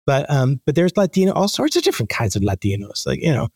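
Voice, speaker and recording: A man being interviewed, speaking conversationally and haltingly as he thinks aloud with repeated false starts. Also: mid-range voice, big pitch swings, darkish tone, slightly rough, dry recording, studio quality. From born in the Dominican Republic. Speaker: interview guest, magazine editor.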